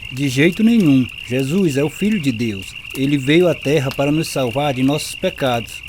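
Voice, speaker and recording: A man's voice: medium pitch, animated, moderate pace, noisy background, lightly acted. A man playing an earnest, firm preacher in a radio drama, exhorting solemnly. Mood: earnest exhortation. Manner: solemn, exhorting, scripture-quoting. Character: earnest, firm male evangelical preacher counselling a girl.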